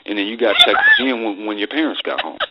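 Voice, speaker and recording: A man hosting a casual radio chat, telling things in a colloquial, halting way on a lo-fi recording. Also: on the low side, very animated, very noisy. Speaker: radio host. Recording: dull lo-fi radio phone-in audio.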